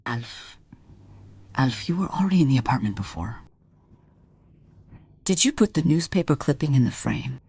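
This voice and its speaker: An actress in a radio play as a distressed married woman confronting a man, husky and breathy, with halting, dramatic pauses. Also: a bass voice, animated, warm tone, exaggerated voice. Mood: insistent, hushed.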